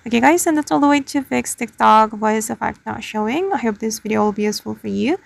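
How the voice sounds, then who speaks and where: A woman's voice: on the high side, quick pace, creaky. A woman hosting a casual tutorial, explaining things step by step to her viewers. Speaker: tutorial host. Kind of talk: casual spoken screen tutorial.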